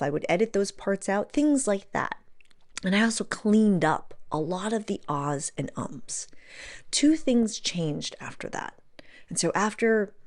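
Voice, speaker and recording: A woman's voice: mid-range voice, a little mellow. A woman podcast host talking casually on her own, giving advice conversationally, repeating words for emphasis and asking rhetorical questions.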